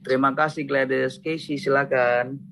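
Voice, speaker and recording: A man with a gravelly, creaky voice hosts a relaxed online interview. He is friendly and switches easily between Indonesian and English. He speaks at an ordinary pitch over a video call. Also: moderate pace. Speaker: host.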